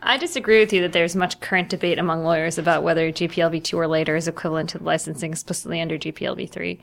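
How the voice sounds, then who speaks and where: A woman co-hosting a podcast, chatting casually and disagreeing in a measured, friendly way. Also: lowish voice, fairly even intonation, vocal fry. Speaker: nonprofit lawyer and podcast co-host. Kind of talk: casual conversation, friendly debate.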